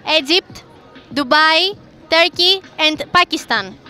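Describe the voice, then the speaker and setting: High bright young woman street interviewer. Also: animated, slow, faint music behind, some chatter behind. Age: young adult. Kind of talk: street vox-pop interview. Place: busy city square outdoors. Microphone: handheld mic.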